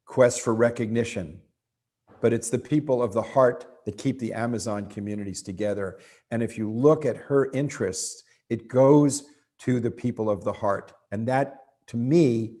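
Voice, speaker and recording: A man hosting an interview, introducing his guest in a measured, earnest way with some restarts. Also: mid-range voice, warm tone. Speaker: host.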